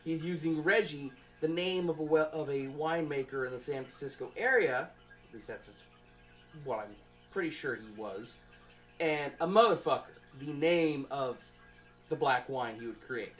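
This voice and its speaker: A young man giving an informal student presentation from notes, in a halting, conversational way. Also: on the high side, a bit bright. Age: young adult.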